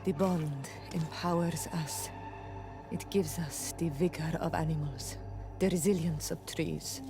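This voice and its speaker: An actress playing a proud, protective native woman in a video game, with a deliberate, solemn delivery. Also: low-pitched. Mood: calm, proud. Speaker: companion character. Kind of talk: scripted game dialogue. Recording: game music behind the voice.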